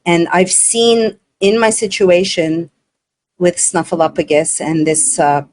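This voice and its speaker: Earnest woman from Montreal, lowish voice. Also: slow pace, a little mellow. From Montreal, Canada. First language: not English. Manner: effusive, earnest, retells dialogue. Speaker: interview host. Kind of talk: casual interview chat.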